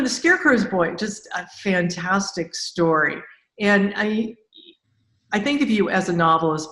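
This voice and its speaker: A woman interviews a guest in a casual, conversational way. Her voice sits at a medium pitch and sounds roomy and echoing. She often rewords her thoughts mid-sentence. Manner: informal, loosely rephrasing as they go. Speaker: podcast interviewer.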